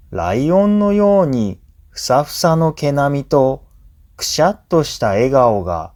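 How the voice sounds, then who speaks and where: A man reading a scripted true story for language learners in a measured, clearly phrased narration. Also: medium pitch, a little mellow. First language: Japanese.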